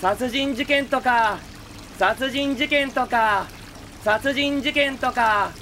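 A man's voice: extremely high pitch, slow pace, pushed and bright, a little hiss or hum, heavily acted. A man voicing a mild, hesitant teenage schoolboy with understated delivery in an anime audio drama. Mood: relaxed.

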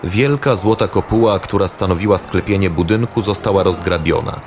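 A man's voice: low-pitched, fairly quick, noisy background. A man narrating a scripted fantasy audio drama as its storyteller. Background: loud music.

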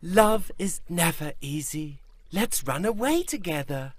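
A man voicing an earnest, lovestruck young man in a children's cartoon, with slow, emphatic lines. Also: a little high, lively intonation. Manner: slow, simple, emphatic delivery. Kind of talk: children's cartoon story acting.

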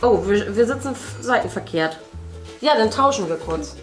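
A woman's voice: on the high side, animated, moderate pace. A woman in her thirties chatting casually to young viewers as the host of a family video, explaining things directly to them. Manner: speaks to children, addressing viewers directly. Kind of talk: casual chat, explaining to viewers while painting. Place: indoor room at a table. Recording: roomy sound, faint music. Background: music.

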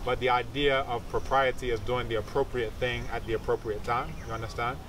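Man, thirties, didactic teacher, explanatory interview talk, mid-pitched, a bit distant from the mic, clear outdoor background noise. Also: steady tone, fairly quick. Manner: didactic, checks understanding with short tag questions. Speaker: capoeira teacher and researcher. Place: outdoors among leafy trees.

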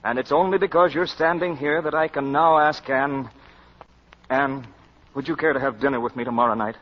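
An actor playing a polite, unflappable young lawyer with a formal, measured manner in a 1940s radio comedy. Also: male voice, medium pitch, fairly even intonation, long reverb tail, natural voice. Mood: courteous. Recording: muffled 1940s radio recording.

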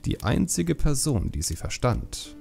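A man's voice: mid-range voice, big pitch swings, unhurried, vocal fry, darkish tone, soft background music. A man narrating a fantasy audiobook as scripted storytelling.

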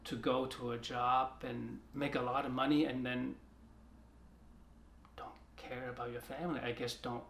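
Soft, gravelly-voiced man in a roomy studio. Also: mid-range voice, flat delivery, a little hiss or hum. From China. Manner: soft-spoken, halting with frequent restarts. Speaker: artist. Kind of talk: reflective interview talk. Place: art studio, indoors. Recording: roomy studio sound with music behind.

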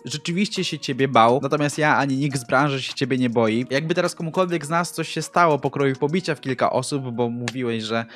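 A man's voice: mid-range voice, brisk, few pauses, a bit bright, soft background music. A man narrating scripted, critical commentary on a public figure. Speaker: commentary youtuber.

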